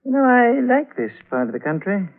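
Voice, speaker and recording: A man's voice: very high-pitched, big pitch swings, brisk, exaggerated voice. An actor playing a sly, smug aging male blackmailer in a vintage radio thriller, terse and insinuating. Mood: sly, menacing. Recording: tinny old radio recording, music behind.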